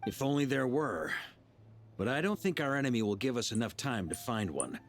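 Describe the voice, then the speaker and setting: An actor playing a seasoned, easygoing and shrewd knight commander in a video game, speaking in a confident, conversational way. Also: male voice, mid-range voice, big pitch swings, brisk, natural voice. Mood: resolute planning. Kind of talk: English video game voice acting. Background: background music.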